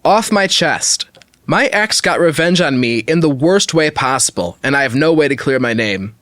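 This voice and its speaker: A man's voice: on the high side, animated, moderate pace, a bit bright, no room sound. Dry-sounding man hosting a podcast. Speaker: podcast host. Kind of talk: reading an online story aloud with casual asides.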